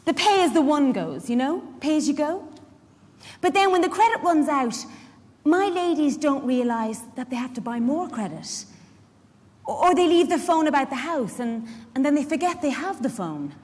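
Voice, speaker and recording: A woman's voice: high-pitched, lively intonation, moderate pace, darkish tone. An actress playing a busy, indignant care worker and mother in a chatty, emphatic stage monologue. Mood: chatty, explaining. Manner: chatty, emphatic, confiding asides to the listener. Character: Stella, busy, warm-hearted, indignant working mother and community care worker. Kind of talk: dramatic monologue reading performed live. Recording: echoey hall, live stage reading.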